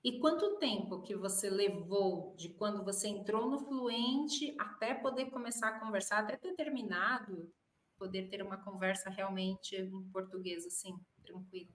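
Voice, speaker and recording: A woman's voice: medium pitch, moderate pace, reverberant. A Brazilian woman, a Portuguese teacher hosting an interview, encouraging and full of short affirmations. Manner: encouraging, many short backchannels. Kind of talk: casual interview chat.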